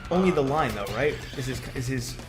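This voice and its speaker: Fast, animated male gaming co-host. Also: mid-range voice. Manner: short, inquisitive reactions. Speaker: co-host playing along. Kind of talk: casual reactive gaming chat. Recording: game music behind the voice. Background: game music.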